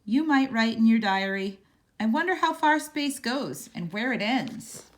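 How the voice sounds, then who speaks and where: A woman reading a children's picture book aloud in a measured, expressive storytelling manner. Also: fairly high pitch, slight background noise. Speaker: storybook reader.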